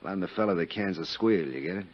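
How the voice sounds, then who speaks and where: An actor in a 1950s radio drama playing a crude, boastful, jealous Chicago businessman, rambling and overfamiliar in folksy roughneck diction. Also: low voice, quick pace. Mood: jovial, rambling. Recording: dull vintage 1950s radio recording.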